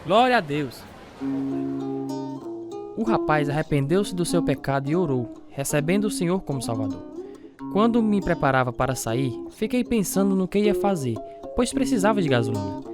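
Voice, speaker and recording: Earnest devout preacher over prominent music. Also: male voice, on the high side, sing-song, moderate pace. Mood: devout, reflective. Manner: earnest, pious. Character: devout, trusting male preacher telling his life story. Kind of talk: dramatized radio testimony with narration and dialogue.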